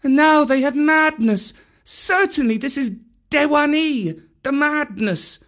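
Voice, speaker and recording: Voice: high-pitched, lively intonation, slow, fairly bright tone, voice pushed up, exaggerated voice. A reader voicing a tired, bewildered jungle boy. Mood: weary. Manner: raised, wondering voice. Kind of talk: audiobook character voice.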